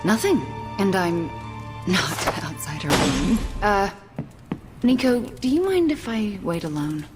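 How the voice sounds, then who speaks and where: An actress playing an earnest, nervous young superheroine in a video game cutscene, hesitant and awkward when trying to bluff. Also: female voice, medium pitch, sing-song, takes it slowly, over music. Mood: flustered.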